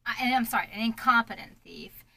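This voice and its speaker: A woman's voice: a little high, quick pace, creaky, sharp tone. A woman hosting a live interview with an author, asking questions in a chatty way and laughing often. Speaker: interview host. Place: home studio with ring lights.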